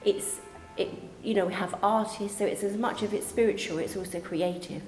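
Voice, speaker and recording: A woman of average pitch chats in a promotional interview as a member of college staff. The space is clearly echoey, and a little ambient noise sits behind her. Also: steady tone, moderate pace, darkish tone.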